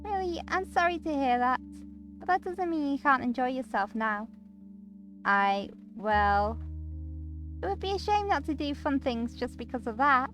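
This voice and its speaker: A woman's voice: sits high, lively intonation, unhurried, a bit bright, faint music behind, voice pushed up. A woman voicing a cheerful, pushy young female coworker in a visual novel, coaxing and sweetly teasing. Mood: concerned, sweet. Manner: bubbly, coaxing. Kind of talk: visual novel character acting.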